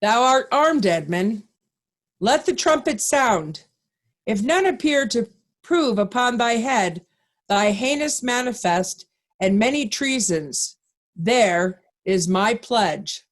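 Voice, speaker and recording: Slow, stern, commanding nobleman played by a woman. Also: on the high side, animated. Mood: accusing, resolute. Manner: measured, formal, commanding delivery. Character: stern, righteous Duke of Albany, nobleman commanding victorious army. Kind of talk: Shakespearean reader's theatre.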